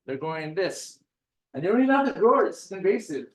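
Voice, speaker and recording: A man's voice: high-pitched, big pitch swings, fairly quick, recorded from a distance. A man from Hawaii, giving a casual, joking talk as a guest speaker. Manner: chatty, joking, digressive. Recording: echoey room, voice from off mic.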